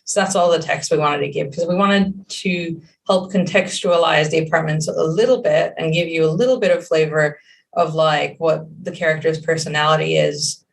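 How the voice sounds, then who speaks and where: Young hebrew-speaking woman, gravelly voice, rambly w lots of uhs, sounds a bit far from the mic. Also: low voice, steady tone, normal speed. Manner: rambling, many fillers and restarts. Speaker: game creative director. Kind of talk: casual interview answers.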